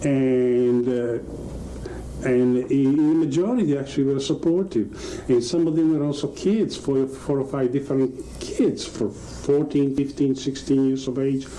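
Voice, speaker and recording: Guy in doc interview, mellow voice, repeats himself, bit of background noise. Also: mid-range voice, steady tone, rough recording. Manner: halting, repetitive. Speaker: documentary interviewee. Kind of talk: unscripted interview talk.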